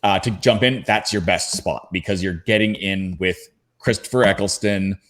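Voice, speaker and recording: A man's voice: low-pitched, fairly even intonation, moderate pace, fairly bright tone. A man speaking as a podcast panelist, casually recommending where to start.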